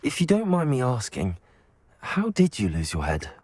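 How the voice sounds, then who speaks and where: A man playing a composed, inquisitive young wizard student in a video game, speaking in a measured way. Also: medium pitch, huge pitch swings, a little mellow. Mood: earnest, questioning.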